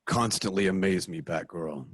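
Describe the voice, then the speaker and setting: A man playing Batman in a home-recorded radio play, with deliberate, mock-serious deductions. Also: low-pitched, breathy edge, no room sound, clean studio sound. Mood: deadpan. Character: Batman, grave adult male crime-fighter, smug deductive. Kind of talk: amateur radio play reading. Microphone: home mic, dry room.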